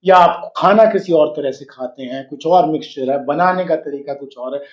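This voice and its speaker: A man's voice: on the high side, moderate pace. A man coaching on health and fitness, explaining in Hindi and switching into English phrases, asking rhetorical questions as he goes. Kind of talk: health advice talk to camera. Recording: roomy echo, dull lo-fi sound.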